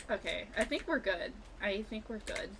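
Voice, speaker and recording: A woman streaming a video game with casual, excitable, gushing and sweary live commentary. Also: medium pitch, background noise. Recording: music behind the voice. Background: video game music.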